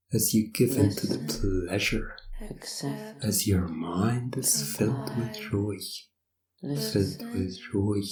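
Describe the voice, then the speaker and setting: A woman performing hypnosis ASMR in a breathy half-whisper, giving scripted suggestions in a repetitive, commanding, hypnotic manner. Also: very deep voice, echoey room, recorded from a distance, poor audio.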